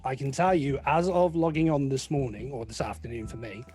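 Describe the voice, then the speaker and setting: A man's voice: mid-range voice, lively intonation, fairly quick, dark tone, soft background music. A man, a guest quizmaster talking casually and explaining things step by step in an easygoing way. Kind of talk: casual quiz hosting.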